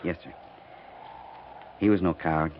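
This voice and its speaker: A man's voice: low-pitched, fairly even intonation, measured pace. A man acting a dutiful, earnest cavalry sergeant, humbled and respectful, with formal military address in 1950s radio drama. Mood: subdued, earnest. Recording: muffled 1950s radio recording with hiss.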